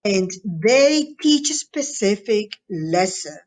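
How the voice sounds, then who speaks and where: Female teacher for a recorded school lesson, unhurried delivery, medium pitch, encouraging and instructional, checks in with listeners. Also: very animated. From Latin America. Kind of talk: recorded lesson addressed to students.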